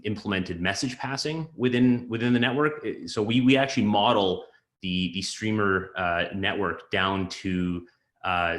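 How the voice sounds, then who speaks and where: Halting, deep-voiced man in an echoey room. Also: a bit bright. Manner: halting, hesitant, with repeated words and broken phrases. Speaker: systems modeler presenting his work. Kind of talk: explanatory technical discussion. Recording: roomy echo.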